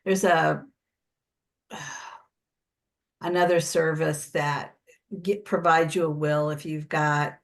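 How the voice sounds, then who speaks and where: An older American woman with a low-pitched voice leads an informal workshop. She explains in a conversational way, doubling back to correct herself as she goes. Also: steady tone, slow, gravelly, long pauses. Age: 60s or older. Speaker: preparedness coach.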